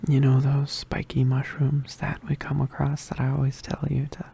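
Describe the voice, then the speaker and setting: Male actor as injured witch boy, ASMR roleplay, soft dark murmur, gravelly creak, halting, weary, pained. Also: medium pitch, completely flat delivery. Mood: weary, explaining. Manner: halting, hesitant, murmured, understated. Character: badly injured young male witch, weary and self-sacrificing.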